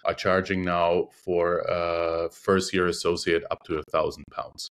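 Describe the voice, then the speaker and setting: A deep-voiced man whose first language is Russian answers interview questions. He speaks in a measured, thoughtful way and often pauses to find the right word. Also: little pitch movement, a bit bright, clear, resonant tone. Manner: measured, thoughtful, frequent hesitations. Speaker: interview guest, arbitration lawyer. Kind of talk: conversational interview.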